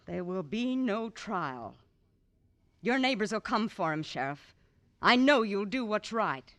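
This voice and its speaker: A woman's voice: mid-range voice, very animated, measured pace, a bit bright. An actress playing a bitter, vengeful young frontier woman with a cold, accusing manner in an old-time radio western. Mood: coldly insistent.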